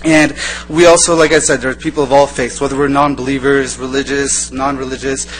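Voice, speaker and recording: Fast-talking man at a public meeting. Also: mid-range voice, little pitch movement, a little hiss or hum, poor audio. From born in Iran, raised in the United States. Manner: earnest, repetitive, loosely improvised. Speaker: resident giving public comment. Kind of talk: informal public speech. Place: council meeting chamber.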